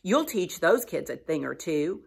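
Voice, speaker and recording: A woman's voice: low-pitched, very animated, a little slow, creaky, slightly rough, heavily acted. A woman reading as a kind, cheerful teacher. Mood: warm.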